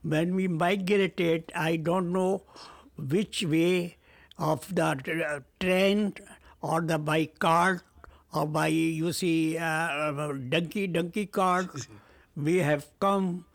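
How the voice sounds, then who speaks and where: A man in his seventies speaks English with a Pakistani accent, his first language being Urdu. His voice sits high and his speech moves slowly, stopping often on fillers while he gropes for formal phrasing. The sound is dry and close. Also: fairly even intonation, no room sound. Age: 70s. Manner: hesitant, halting, many fillers, formal phrasing. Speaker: interview guest. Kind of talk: family interview.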